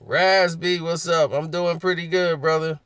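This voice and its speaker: A man with a husky voice hosting a casual live chat, laughing often and answering viewers. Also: on the high side, flat delivery, unhurried, fairly bright tone, dry recording, clean studio sound.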